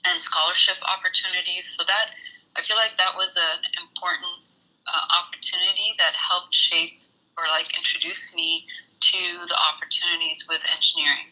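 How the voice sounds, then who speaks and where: A woman in her thirties from Saudi Arabia, an engineer talking through her story in a casual interview, hesitant with many pauses. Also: fairly low pitch, steady tone, pushed and bright, poor audio. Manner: thoughtful, hesitant with many ums. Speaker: guest, engineer.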